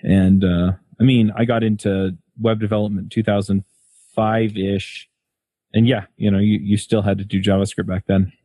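A man's voice: lowish voice, flat delivery, moderate pace, mellow, breathy edge, dry recording. Male podcast host with a colloquial manner. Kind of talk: casual podcast interviewing and scripted sponsor read.

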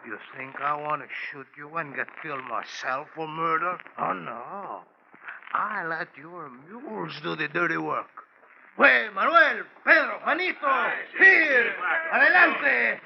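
An actor playing a gleeful, mockingly polite Mexican bandit chief in 1940s radio style, taunting and menacing, with a Mexican accent. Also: male voice, a little high, lively intonation, creaky. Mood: smug menace. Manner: taunting, slow, theatrical laughing villain. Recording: dull, noisy 1940s radio recording.

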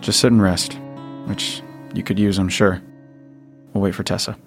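A man's voice: deep voice, level tone, normal speed, vocal fry, soft background music. A man playing a composed, protective survivor in an audio drama, with a terse, practical manner. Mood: gentle, steady. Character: John, composed, protective male survivor giving orders.

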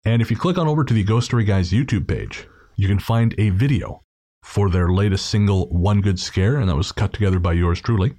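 A man's voice: fairly low pitch, a little fast, dark tone. A man hosting a podcast, chatting casually and informally through thanks and plugs. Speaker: podcast host.

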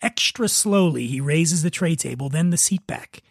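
A man's voice: on the high side, expressive, moderate pace, vocal fry, no room sound, studio quality. A man hosting a podcast, talking casually and narrating a script. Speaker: podcast host and writer.

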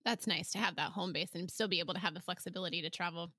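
A woman's voice: on the low side, racing, pushed and bright, dry recording, studio quality. A woman podcast host interviewing casually, with chatty, encouraging remarks and long rambling questions.

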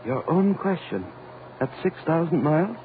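A man's voice: a little high, lively intonation, moderate pace, lightly acted. A man acting a grave, intense scientist aboard a rocket ship, terse and dramatic in 1940s radio style. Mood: tense. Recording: narrow-band, faintly noisy 1940s radio recording.

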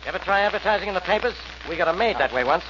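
Sarcastic american police inspector, high voice, fast n swoopy, crackly muffled old radio drama. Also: male voice, animated. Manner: sarcastic, needling, brusque. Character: gruff, sarcastic male police homicide inspector. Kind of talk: 1940s radio acting.

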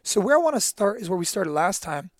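A man with a high voice hosts an interview, talking fast and eagerly as he sets up his questions. The recording is dry and close. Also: big pitch swings, dry recording. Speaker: podcast host for gym owners. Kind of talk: interview host.

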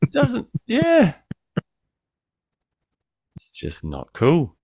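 A man's voice: high-pitched, huge pitch swings, very slow, a little mellow, husky, dry recording, studio quality. A man co-hosting a podcast by remote call, joking crudely and swinging between mumbling and exclaiming. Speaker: podcast co-host. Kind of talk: casual podcast banter. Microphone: remote call mic.

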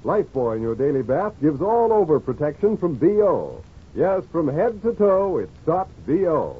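Male radio ad announcer, highish and very raspy, animated, tinny vintage broadcast. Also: normal speed. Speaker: commercial announcer. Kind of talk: 1940s radio advertisement read.

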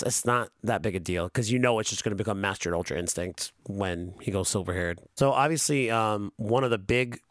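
A man's voice: lowish voice, no room sound, studio quality. A man talking casually on a podcast, rambling and cursing, with many fillers and restarts. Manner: rambling, profane, frequent fillers. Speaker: podcast co-host.

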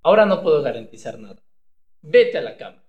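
A man's voice: fairly high pitch, big pitch swings, brisk, darkish tone, roomy sound. A man playing a cowardly, boastful henpecked husband in a comedic radio play, hesitant one moment and blustering the next. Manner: hesitant stammering, then blustering.

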